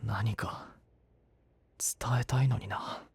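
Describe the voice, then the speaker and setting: A man's voice: fairly low pitch, steady tone, slow, whispered. A man voice-acting an earnest, awkward young knight in an audio drama, blunt and casual, often muttering to himself. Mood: frustrated, brooding. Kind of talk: Japanese anime-style voice drama acting.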